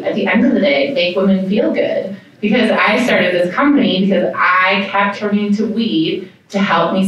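A woman's voice: lowish voice, a bit bright, slight background noise. Upbeat woman panelist in echoey room. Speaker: panelist, company founder. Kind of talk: panel self-introduction. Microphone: handheld mic. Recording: very echoey room, distant pickup.

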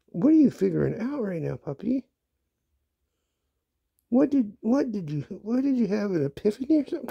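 Gravelly, mellow pet owner coaxing a dog. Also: mid-range voice, no echo. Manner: coaxing baby talk to a dog, repeating questions. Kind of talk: casual talk to a pet dog. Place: small bedroom, indoors. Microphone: handheld phone held near the speaker. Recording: dull lo-fi phone recording.

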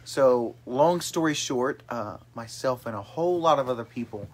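A man's voice: mid-range voice, expressive, normal speed. Late-20s man, upbeat livestream host, phone at arm's length, small bedroom. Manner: upbeat, reads out viewer comments. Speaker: host of a family livestream chat. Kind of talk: casual chat. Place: small bedroom with brothers on a couch. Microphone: phone camera held at arm's length.

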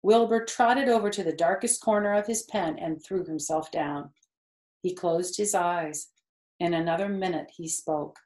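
A woman in her fifties reading a children's story aloud, measured and explanatory. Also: fairly low pitch, darkish tone. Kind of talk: read-aloud storybook narration. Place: living room sofa, indoors. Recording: roomy, echoey indoor sound.

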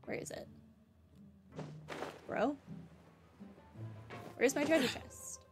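A woman's voice: high-pitched, extremely slow, music in the background. A woman streaming a game with casual, offhand commentary, half talking to herself.

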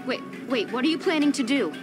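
A woman's voice: sits high, animated, quick pace, over music. An actress playing an idealistic, stubborn young woman survivor in a video game, earnest and principled. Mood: determined, pleading. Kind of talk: video game cutscene acting.

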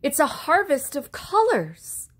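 A woman voicing a timid yet wonderstruck young female peach in a storybook, expressive and sweet. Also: high-pitched, slow pace, voice pushed up, full character voice. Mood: amazed.

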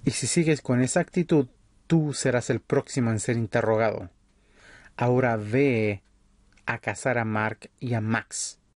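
Male actor as vampire leader, cold, authoritarian, measured, condescending, dry close sound, roleplay drama. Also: mid-range voice, steady tone, no room sound, lightly acted. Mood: threatening. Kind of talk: ASMR audio roleplay acting.

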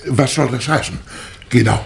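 Creaky-voiced, befuddled old-time professor. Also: male voice, medium pitch, moderate pace. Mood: flustered. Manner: hesitant, stammering with many filler sounds. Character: absent-minded, befuddled professor and organ-playing musician. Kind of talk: 1960s German radio crime drama acting.

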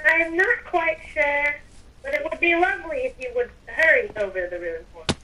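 Bright, higher-pitched woman in roleplay. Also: normal speed, a little hiss or hum, poor audio. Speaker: Minecraft roleplay player. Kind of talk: improvised in-character game roleplay.

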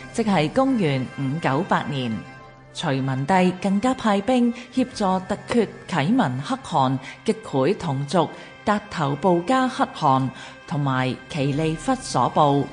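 A woman narrates in Hong Kong Cantonese with a low voice and slow pace. Her delivery is formal and measured, like a scripted documentary, with faint music behind her. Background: background music.

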